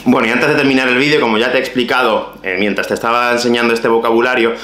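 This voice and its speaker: A man in his thirties with a Spain Spanish accent, teaching Spanish vocabulary to camera in a lively, playful way. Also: medium pitch, fast, reverberant, slight background noise. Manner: lively, expressive, playful asides. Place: small bright room at home.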